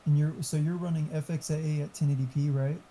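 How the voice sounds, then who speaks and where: A man's voice: fairly high pitch, little pitch movement, quick pace, mellow, breathy edge. A man chatting casually, explaining tech settings in an informal, mildly profane way. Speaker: friend giving tech advice. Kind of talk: casual chat.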